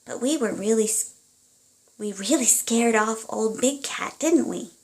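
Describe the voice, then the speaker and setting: A woman's voice: on the high side, a little slow. A woman voicing a small, frightened boy mouse in a storybook, whimpering and wailing. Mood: sad, then proud. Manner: whimpering, wailing when scared.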